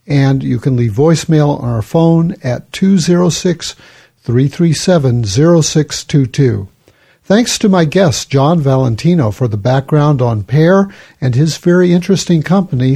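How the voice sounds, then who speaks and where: Gravelly, husky-voiced male podcast host. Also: on the high side. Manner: relaxed, conversational, reads listener emails aloud. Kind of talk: casual podcast talk.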